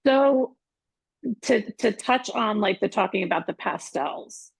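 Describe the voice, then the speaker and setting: A woman with a strongly raspy, gravelly voice talks frankly and without filter as an interview guest. Her pitch sits a little high, and the sound is very husky and close, with no room echo. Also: expressive, takes it slowly. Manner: candid, forthright, speaks her mind. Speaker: acupuncturist and wellness practitioner, guest. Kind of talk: casual interview chat.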